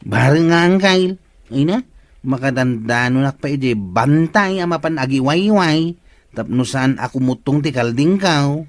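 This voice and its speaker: An actor playing an earnest, plaintive goat-owning villager in an Ilocano radio comedy drama, with an Ilocano Filipino accent. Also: male voice, medium pitch, expressive, normal speed, no echo, lightly acted. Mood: agreeable.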